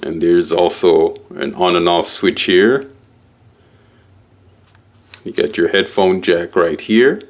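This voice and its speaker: Slow, low-voiced man reviewing gear. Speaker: product reviewer. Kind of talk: casual unboxing walkthrough.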